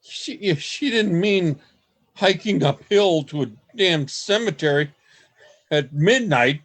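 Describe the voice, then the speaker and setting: Radio play role, male actor: elderly husband, grumbling and winded, slow delivery with big swings in pitch. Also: animated, fairly bright tone, full character voice. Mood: weary grumbling. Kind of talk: amateur radio play acting.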